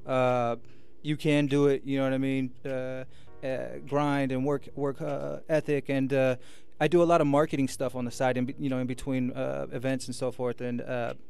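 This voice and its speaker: A man with an American accent talks casually in a radio interview, his mid-pitched voice touched with gravelly fry. He rambles earnestly as a guest musician, with faint music behind him. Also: flat delivery, normal speed, a little mellow. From Sacramento, California, USA. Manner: earnest, rambling, often adds "you know what I mean". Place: radio station studio.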